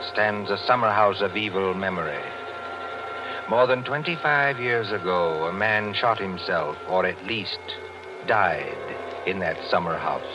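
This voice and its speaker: A man narrating a 1940s radio drama in a deliberate, ominous, theatrical manner. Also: fairly low pitch. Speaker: narrator and announcer. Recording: muffled old radio recording with music. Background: music.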